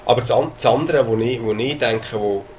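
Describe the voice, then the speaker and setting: A man's voice: medium pitch, brisk, a little mellow, a little hiss or hum. A man with a Swiss German accent preaching a sermon, talking in a conversational way with hesitations and sudden emphasis. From Switzerland.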